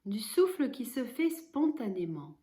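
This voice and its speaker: A woman leading a guided relaxation as a yoga nidra instructor, giving calm, measured instructions with pauses. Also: fairly high pitch.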